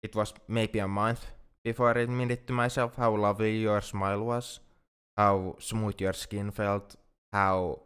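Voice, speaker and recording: A man acts out a self-doubting alien friend in an intimate ASMR roleplay monologue. His voice is deep and clean, with a resonant smoothness. He speaks earnestly in careful, formal wording that halts as he goes, anxious and lovesick. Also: little pitch movement, unhurried, a bit bright. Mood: tender, confessing.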